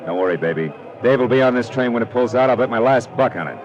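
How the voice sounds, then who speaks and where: An actor playing a wry, world-weary private detective in a hardboiled 1940s radio drama, with dry, clipped, sardonic delivery. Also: male voice, medium pitch, expressive, quick pace, highs cut off, lightly acted. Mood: reassuring. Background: music.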